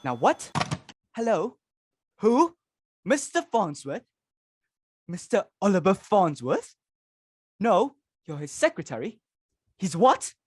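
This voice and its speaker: A woman's voice: medium pitch, very animated, slow pace, long pauses, a little mellow, breathy edge, no room sound, clean studio sound, exaggerated voice. A woman playing an imperious, frantic, self-important society hostess and domineering mother in a dramatic reading of a stage comedy. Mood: indignant, furious. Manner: theatrical, self-important, exclamatory.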